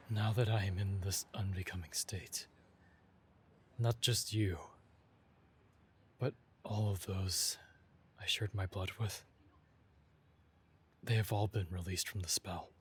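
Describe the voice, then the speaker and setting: A man playing a weakened, proud vampire with formal, solemn phrasing and a breathy voice that dips into whispers, in a dramatic ASMR audio roleplay. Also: sits low, steady tone, slow pace, long pauses, slight background noise. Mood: weary, bitter.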